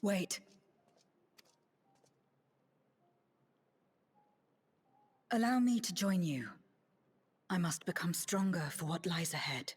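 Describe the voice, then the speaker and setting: A woman playing a guarded, earnest young warrior in a fantasy game, serious and hushed. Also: on the low side, expressive, moderate pace, slight background noise, lightly acted. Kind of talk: dramatic video game acting. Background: game music.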